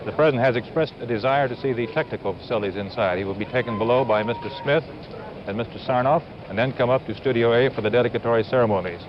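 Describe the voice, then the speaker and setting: A man's voice: mid-range voice, fast talker, noisy background. An American man reporting live outdoors as a 1950s television correspondent, describing the scene in short, clipped phrases on muffled old audio. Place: outdoors at a building entrance. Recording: muffled 1950s broadcast with music behind.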